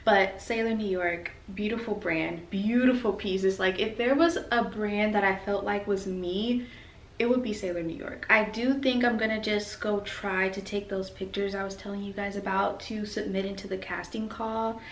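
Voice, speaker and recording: A woman's voice: medium pitch, steady tone, normal speed. A young woman in her twenties chatting upbeat and casual as a fashion vlogger, talking to a camera across her bedroom. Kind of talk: casual vlog chat. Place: bedroom at home, indoors. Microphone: camera across the room. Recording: roomy, from a distance, faint hiss.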